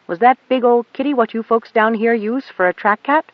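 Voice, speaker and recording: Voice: medium pitch, a little fast, no echo, highs cut off, voice pushed up, lightly acted. An audiobook narrator voicing a kind, blunt airship pilot with a folksy way of talking. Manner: warm, folksy, drops g's.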